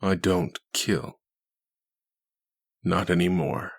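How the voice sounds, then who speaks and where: An actor playing a gentle, grave big cat-man healer in an audio drama, speaking calmly and tersely in a husky rumble. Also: drawn-out words, heavily acted. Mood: solemn. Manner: calm, terse.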